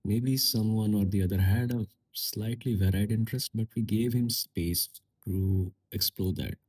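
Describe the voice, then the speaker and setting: A man with a slightly low, breathy, husky voice talks alone into a podcast mic. He muses in an unhurried way, pausing and circling back with fillers as he thinks aloud. English is not his first language. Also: fairly even intonation, darkish tone. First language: a language other than English and Hindi. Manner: musing, unhurried, with pauses and fillers. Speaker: podcast host. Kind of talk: reflective solo podcast monologue.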